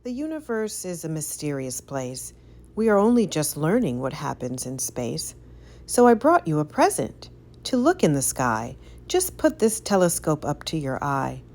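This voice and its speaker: A woman reading a rhyming picture book aloud for children in an expressive, sing-song storytelling way. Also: low voice, normal speed. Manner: animated, sing-song, careful storytelling delivery.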